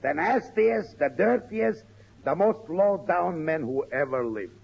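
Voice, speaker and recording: A man's voice: on the high side, expressive, a little slow, vocal fry, a little mellow. A man playing a gleefully wicked, boastful pirate captain in 1940s radio comedy style, with a theatrical, gloating delivery. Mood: boastful glee. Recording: noisy, dull old radio recording.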